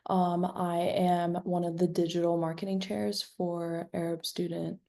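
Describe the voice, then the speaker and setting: A woman's voice: fairly low pitch, little pitch movement, unhurried, vocal fry, mellow. A young woman, a university student, answering interview questions in a hesitant way with many fillers. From United States. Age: young adult. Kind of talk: casual interview.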